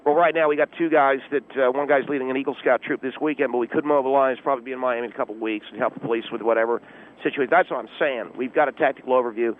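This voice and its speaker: A man playing an officious, self-important but clueless volunteer auxiliary policeman on a phone line, deadpan radio comedy with pompous, halting tactical jargon. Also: mid-range voice. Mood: officious, confident. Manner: pompous pseudo-tactical jargon, hesitant filler-laden delivery. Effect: telephone line. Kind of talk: deadpan comic radio call-in. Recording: tinny, narrow phone line.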